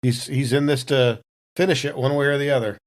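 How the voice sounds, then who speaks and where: A man with a middling-pitched voice talks as a player in a tabletop roleplaying game. He describes his character's moves in a casual, improvised way, thinking as he goes. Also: steady tone, fairly quick.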